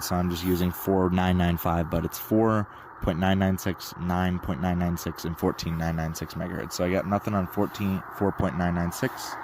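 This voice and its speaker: A teenage male radio hobbyist giving casual, explanatory commentary in a slightly hesitant way. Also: sits low. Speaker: shortwave radio hobbyist narrator. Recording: noisy, radio static and hum behind. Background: shortwave radio noise.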